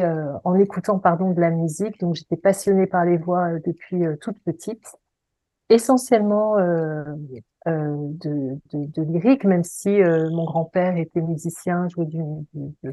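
A woman from the Paris region with a French accent, talking conversationally about herself as an interview guest, hesitant and pausing often. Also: fairly low pitch, dark tone, no echo, poor audio. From Paris region, France. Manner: hesitant, halting delivery with many pauses. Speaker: interview guest, voice coach and singing teacher. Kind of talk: conversational personal account.

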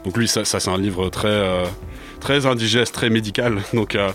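A man's voice: lowish voice, moderate pace, fairly bright tone, soft background music. A man in his twenties, a native English speaker, answering a radio interview in a studio with many hesitations and fillers. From France. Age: 20s. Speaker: rapper guest.

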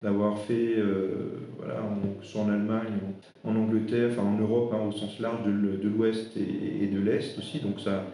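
A man from eastern France whose first language is Alsatian, an interim HR director answering podcast interview questions in a halting way, full of fillers and restarts. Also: on the low side, flat delivery, gravelly, dark tone. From eastern France, Alsace. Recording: very echoey room with faint noise.

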